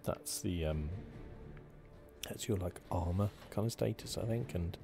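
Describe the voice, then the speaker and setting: A man giving relaxed ASMR game commentary, musing and rambling with a hesitant delivery. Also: deep voice, a little slow, vocal fry, over music. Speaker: gaming channel host.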